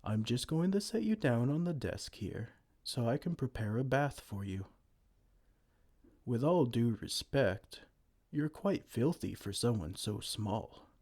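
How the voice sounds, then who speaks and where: A man voicing a kind, gentle male giant who cares for a tiny human, speaking softly and soothingly in an ASMR roleplay. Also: mid-range voice, big pitch swings, unhurried. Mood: tender. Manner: soft-spoken, soothing, tender pet names.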